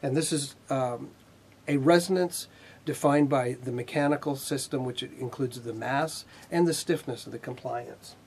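A man in his fifties giving a technical lecture in a measured, explanatory way with pauses mid-sentence, his voice slightly husky. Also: medium pitch, a little mellow, slight background noise. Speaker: instructor, R&D manager. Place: indoor video studio with whiteboard.

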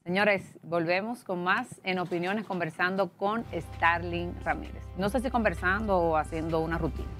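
A woman, hosting a TV interview show with measured, formal questions in a studio. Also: on the low side, a bit bright, faint music behind. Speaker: TV interview host. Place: TV studio set.

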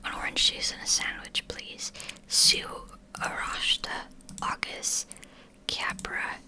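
A woman, whispering casually through language-app practice, halting and swearing now and then. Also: bright, forceful tone. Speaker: language learner.

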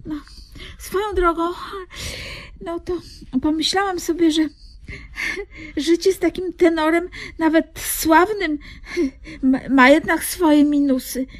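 A woman's voice: extremely high pitch, steady tone, takes it slowly, long pauses. An actress playing a flustered, long-suffering woman in a Polish radio comedy, stammering and repeating her words. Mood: weary resignation.

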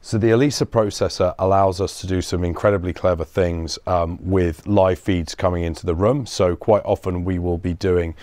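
Low-voiced man explaining gear, dry sound. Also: steady tone, normal speed, hardly any pauses, warm tone, dry recording. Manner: conversational, explanatory. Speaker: showroom guide, company representative. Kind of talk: informal technical explanation on a tour. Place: indoor audio showroom and studio room.

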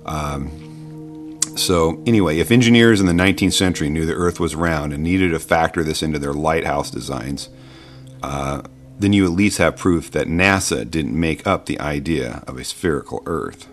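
A man giving an informal explanatory voice-over, conversational and halting, patiently reasoning through his points. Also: very deep voice, soft background music. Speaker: video narrator explaining science.

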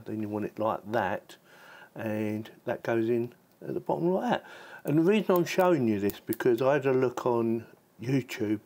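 A man's voice: medium pitch, darkish tone. A man hosting a casual product review, chatting informally and thinking aloud as he demonstrates the gear. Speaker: tech reviewer and channel host.

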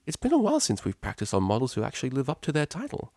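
A man voicing a kindly, easygoing older female pony who teaches art, with a gentle, friendly manner. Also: medium pitch, huge pitch swings, rapid-fire, no room sound, clean studio sound, pitched above the performer's own voice. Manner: gentle, friendly, affectionate address.

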